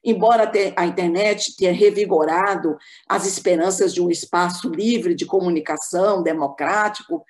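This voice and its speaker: A Brazilian woman giving a formal, measured academic talk as a panelist on an online call. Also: lowish voice.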